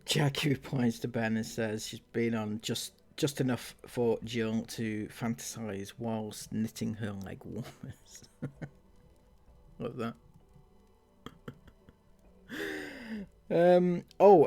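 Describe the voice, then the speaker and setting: A man's voice: medium pitch, fairly even intonation, darkish tone. A man hosting a commentary channel, reading viewer comments aloud and mocking them, with frequent laughs and sarcasm. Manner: sarcastic, laughs often, drawn-out delivery.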